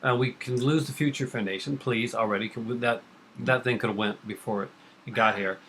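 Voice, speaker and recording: A man chatting casually, relaxed and rambling, with hesitant restarts. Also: mid-range voice, brisk, slight background noise. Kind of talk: casual chat.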